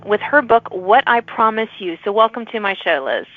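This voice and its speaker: A woman's voice: medium pitch, animated, normal speed. Phone-like woman podcast host. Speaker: podcast host interviewing authors. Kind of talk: conversational author interview. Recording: narrow phone-like sound, dry.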